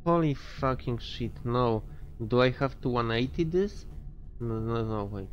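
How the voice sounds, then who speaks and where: A man's voice: mid-range voice, animated, unhurried, dull audio. A man giving casual gameplay commentary, thinking aloud and swearing freely as he plays. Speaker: gamer commentating own gameplay.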